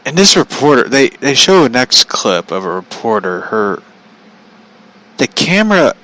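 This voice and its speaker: A man's voice: medium pitch, very animated, measured pace, pushed and bright, rough recording. A man telling stories in a casual, rambling, foul-mouthed way as a podcast host, incredulous and repeating himself for emphasis.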